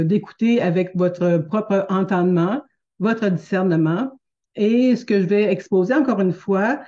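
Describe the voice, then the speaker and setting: A woman from Quebec with a Québécois accent, hosting a live webinar as a teacher, talking unscripted with many fillers and restarts in a gentle, earnest way. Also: on the low side. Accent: Québécois French. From Quebec, Canada. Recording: dull lo-fi webinar audio.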